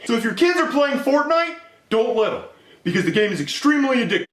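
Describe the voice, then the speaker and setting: A man playing an excitable male breaking-news anchor in a comedy skit, delivering mock-serious bulletins with drawn-out words. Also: extremely high pitch, heavily acted. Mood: mock urgent. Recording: roomy echo with faint music.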